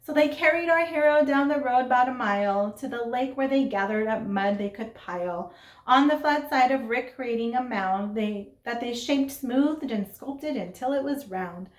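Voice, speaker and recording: A woman reading a children's story aloud in an expressive, dramatic way, with asides and questions to young listeners. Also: fairly high pitch, moderate pace. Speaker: storybook reader. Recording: roomy, echoing sound.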